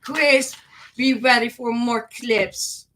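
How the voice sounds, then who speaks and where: A woman's voice: on the high side, fairly even intonation, slow pace, a bit bright, a little hiss or hum. A woman from the Netherlands with a Dutch accent, co-hosting a casual live stream, teasing, giggly and outspoken. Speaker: co-host, behind-the-scenes organiser.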